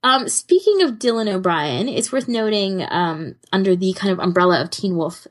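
A woman's voice: medium pitch, lively intonation, moderate pace. A woman co-hosting a podcast in casual fan chat, rambling a little and correcting herself as she goes. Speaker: podcast co-host.

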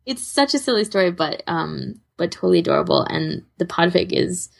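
A woman's voice: lowish voice, expressive, fairly quick, vocal fry. A woman co-hosting a podcast, chatting casually and giving recommendations in an informal, rambling, giggly way. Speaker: podcast co-host.

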